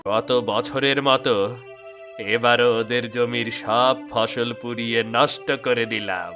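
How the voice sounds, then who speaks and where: A man voicing a jealous, scheming male village neighbor who gloats and chuckles to himself, in an animated storybook. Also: mid-range voice, lightly acted.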